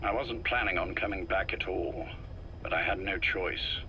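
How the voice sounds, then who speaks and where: An actor playing a grave, shrewd intelligence operative in a video game, in a covert briefing. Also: male voice, sits low, moderate pace, bright, forceful tone, slight background noise. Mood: guarded briefing.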